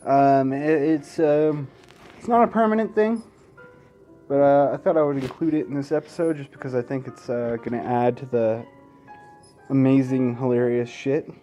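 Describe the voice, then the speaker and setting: A man's voice: medium pitch, moderate pace, mellow, faint music behind. A man hosting a let's play in an informal, sweary way, mixing casual gaming commentary and asides with game text read aloud.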